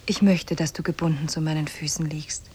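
A sly captive woman in a vintage radio play. Her lowish voice is very breathy and husky, tender and coaxing as she feigns love to her captor. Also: fairly even intonation, fairly quick, a little mellow. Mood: seductive, scheming. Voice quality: breathy, very husky, often whispering. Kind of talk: 1950s German radio drama acting. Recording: old 1950s radio play on cassette.

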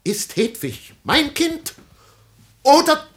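Proud, volatile, wounded husband, swooping intonation. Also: male voice, extremely high pitch, very animated, takes it slowly, a bit bright, exaggerated voice. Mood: indignant, suspicious. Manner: theatrical, agitated, commanding. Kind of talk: radio play acting.

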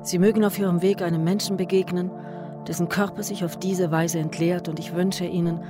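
An actress playing a grave woman in a radio drama, narrating her care of a dying man in a measured, stark, literary way. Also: on the low side, flat delivery, close to an everyday voice. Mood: grave, intense. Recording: music behind the voice.

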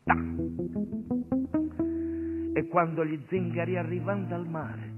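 A man delivers a stage monologue in a slightly high voice, wry and offhand one moment, solemn and declamatory the next. The sound is thin, like a phone line, and loud plucked guitar plays along. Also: flat delivery, slow pace. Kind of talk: theatrical monologue with asides to the audience. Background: live guitar music.

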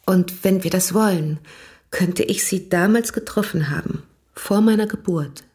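An actress plays a young married woman in a radio drama, with a fairly low voice. She is sober-minded and candid, speaking in a measured, direct way. A quiet irony and gentle skepticism run through her delivery. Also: lightly acted. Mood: dryly skeptical. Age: young adult. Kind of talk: radio play acting.

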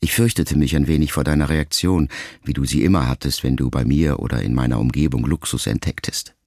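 An actor playing a middle-aged writer son who addresses his mother in a measured, introspective, quietly reproachful radio monologue. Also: very deep voice, no echo, clean studio sound. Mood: restrained reproach. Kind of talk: literary monologue in a radio play.

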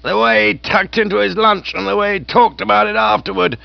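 A man reading aloud voices a loud, hearty older aunt who talks bluntly and bossily. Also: sits high, steady tone, a little fast, vocal fry, dry recording, pitched above the performer's own voice. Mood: enthusiastic. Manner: loud, emphatic, bossy. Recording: dull, dry recording.

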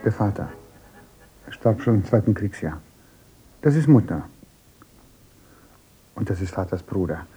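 A man's voice: on the low side, animated, a little slow, pauses often, warm tone, soft background music, low-quality recording. An actor playing a talkative, ingratiating young man visiting a household, in a radio play. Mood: chatty. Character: glib chatty young man, boastful guest.

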